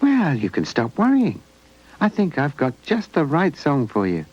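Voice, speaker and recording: A man voicing a gentle, thoughtful toy friend in a children's puppet show, kindly and reassuring, on a muffled old videotape. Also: fairly high pitch, wildly swooping pitch, measured pace, husky, voice pushed up, full character voice. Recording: muffled old VHS tape.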